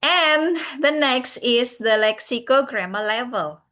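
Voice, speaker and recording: A woman from Central Java with a Javanese accent, giving a recorded English lecture, pausing often with "uh" and "yeah" and addressing the class as everyone. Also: fairly high pitch, lively intonation, bright, forceful tone. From Central Java, Indonesia. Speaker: university lecturer.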